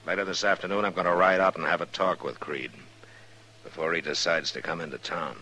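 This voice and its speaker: An American man playing a calm, plain-spoken marshal in an old radio western, talking in a measured, matter-of-fact way. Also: deep voice, background noise, close to an everyday voice. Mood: calm, firm.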